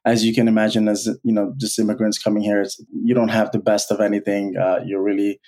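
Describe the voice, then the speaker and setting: A man in his thirties from Bangladesh, a guest telling his life story in an earnest, halting way with many restarts. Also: lowish voice, little pitch movement, mellow. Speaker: guest, college alumnus. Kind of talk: personal storytelling interview.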